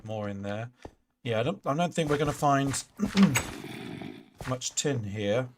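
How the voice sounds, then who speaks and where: A man's voice: medium pitch, animated, measured pace, noisy background. A man streaming a block-building game, chatting casually and talking to the mobs he meets. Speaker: gaming streamer.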